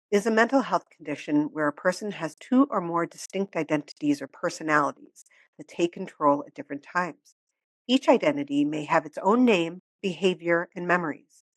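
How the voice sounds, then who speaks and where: Low-voiced woman podcast host. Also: lively intonation, moderate pace, dry recording. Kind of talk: podcast interview with read explanations.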